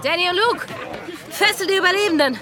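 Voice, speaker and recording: A woman's voice: very high-pitched, a little fast, crowd noise, noisy background. An actress playing a commanding, sardonic, impatient pirate woman in a German radio drama. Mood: commanding, shouting. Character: commanding, impatient young female pirate, sharp-tongued and wary.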